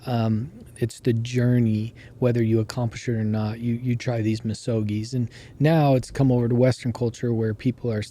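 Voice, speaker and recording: A man hosting a podcast, chatting casually with a hoarse voice that keeps going out and telling stories in an animated way. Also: medium pitch, normal speed, few pauses, slight background noise. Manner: animated storyteller who laughs while telling. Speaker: podcast host. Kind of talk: casual interview chat.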